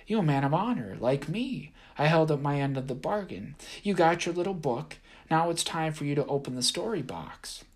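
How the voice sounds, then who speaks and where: Raspy haint villain put on by a man, drawling, folksy, higher pitched. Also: animated, normal speed, few pauses. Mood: wheedling. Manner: drawling, folksy Southern-style talk. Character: sinister male cotton haint, wheedling and menacing.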